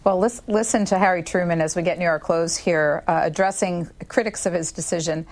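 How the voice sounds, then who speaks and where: A woman with an American accent puts questions in a measured broadcast interview style. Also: fairly low pitch, steady tone.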